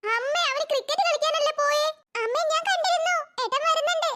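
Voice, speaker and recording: A performer voicing a small, cheeky, whiny cartoon schoolchild in a pitched-up voice. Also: brisk, sharp tone. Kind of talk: cartoon voice acting.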